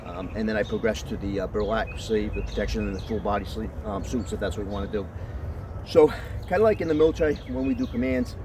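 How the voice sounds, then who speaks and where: A man with a deep voice explaining how to train his dog, in a casual, conversational way that keeps checking in with "okay?". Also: fast talker. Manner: conversational, often checks in with "okay?", jumps to a high praise voice. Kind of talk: casual outdoor training talk. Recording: distant mic with outdoor street noise.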